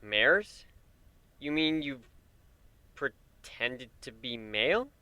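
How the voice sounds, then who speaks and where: A man reads as a good-humoured male pony husband in a cartoon fan story. His voice is bright and clear at a middle pitch, in a close, dry recording. He speaks casually, with caring, wry teasing. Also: very animated, extremely slow, dry recording, heavily acted. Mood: uncertain. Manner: casual, gently wry, hesitant in places.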